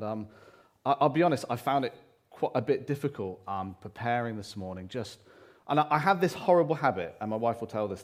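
A man with a loud voice preaching a sermon to a congregation, talking earnestly with frequent pauses and restarts. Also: on the low side. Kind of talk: church sermon. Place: church hall, live congregation. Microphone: handheld or stand microphone.

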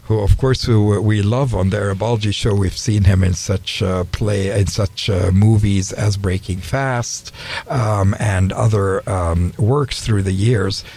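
A middle-aged man hosting a radio interview show from a studio, speaking in a friendly, unhurried way. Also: on the low side, steady tone, gravelly, a little mellow, breathy edge.

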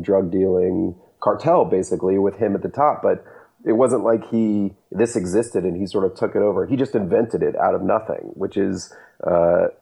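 A man being interviewed as a journalist, explaining at length in a measured way with frequent fillers. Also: deep voice, creaky, warm tone, husky. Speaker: journalist guest. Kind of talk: interview answers.

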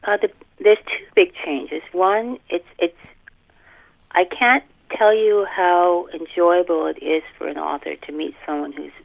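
An older woman, a guest author chatting casually in a podcast interview, animated and self-deprecating, repeating words for emphasis. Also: on the low side, measured pace, vocal fry. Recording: thin band-limited phone line.

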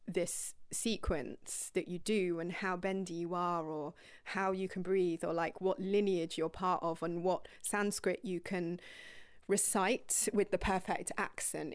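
A young woman yoga teacher telling her own story to viewers in a rambling, candid, casual way, dropping to breathy whispered asides now and then. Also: mid-range voice, no room sound, clean studio sound.

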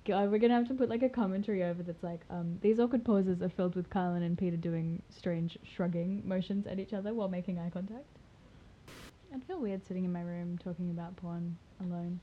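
A woman's voice: fairly low pitch, fairly even intonation, brisk, slight background noise. A young woman with an Australian accent, co-hosting a casual podcast chat, giggly and teasing, laughing often. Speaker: podcast co-host. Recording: dull lo-fi test recording.

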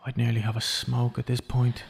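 An actor playing an imprisoned killer in an audio drama, self-loathing and intense, speaking with deliberate, persuasive insistence. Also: male voice, mid-range voice, completely flat delivery, gravelly, husky. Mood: grave.

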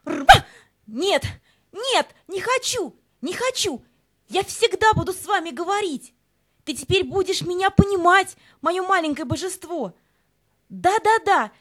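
A woman's voice: very high voice, animated, a little slow, fairly bright tone, full character voice. A woman voicing a devoted, frantic pet dog in an audio play. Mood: frantic, pleading.

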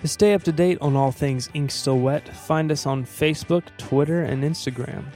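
A man co-hosting a podcast, reading a scripted sign-off with a deadpan, joking manner. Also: a little high, moderate pace. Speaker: podcast co-host and composer. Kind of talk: light scripted podcast outro with dry jokes. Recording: music bed behind voice.

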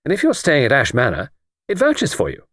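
A man voicing a brisk, confident, chummy male friend in audiobook dialogue, breezy, slangy and emphatic. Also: medium pitch, huge pitch swings, fairly bright tone, husky, dry recording, studio quality, pitched above the performer's own voice, exaggerated voice. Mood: brisk, persuasive.

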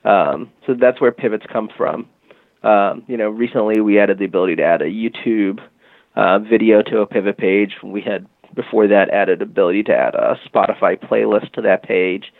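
A man's voice: fairly low pitch, moderate pace, vocal fry, no echo. A man interviewed as a guest, explaining his company's product in casual talk, full of "you know" and "um". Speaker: guest from a music marketing company. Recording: tinny phone-line call.

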